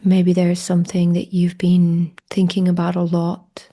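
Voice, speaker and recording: A woman leading a guided meditation in a hushed, breathy voice that often drops close to a whisper, with slow, gentle invitations. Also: on the low side, monotone.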